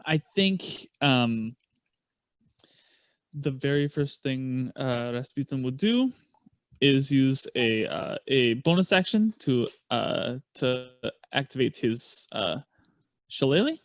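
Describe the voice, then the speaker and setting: A man's voice: mid-range voice, expressive, takes it slowly, dry recording, studio quality. A young man playing a tabletop game, casual, swearing and laughing often. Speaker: player.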